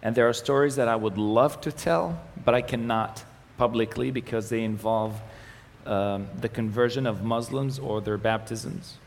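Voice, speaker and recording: Young Arabic-speaking man from the Galilee giving a casual church talk, gravelly, with a long hall echo. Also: medium pitch, normal speed. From Israel, Galilee. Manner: relaxed, joking, many fillers and restarts. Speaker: ministry communications manager giving a presentation. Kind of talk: church presentation with slides. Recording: echoey hall with faint noise.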